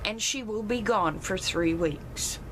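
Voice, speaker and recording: A woman with a loud, carrying voice, telling a personal story emphatically. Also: takes it slowly, a little hiss or hum, rough recording. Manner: emphatic, rises in pitch when retelling her protest.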